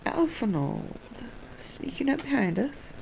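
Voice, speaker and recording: A woman's voice: high-pitched, big pitch swings, takes it slowly, vocal fry, whispered, rough recording. A woman giving casual live commentary as she streams a game.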